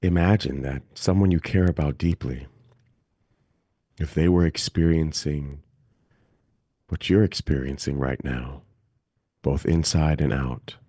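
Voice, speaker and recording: A man leading a guided meditation as a teacher, speaking gently and calmly with long, unhurried pauses. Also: extremely low pitch, no room sound, clean studio sound.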